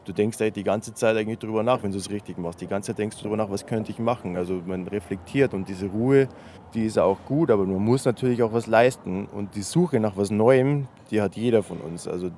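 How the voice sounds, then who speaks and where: Reflective man, low voice, faint noise behind. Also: brisk, warm tone. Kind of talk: reflective statement.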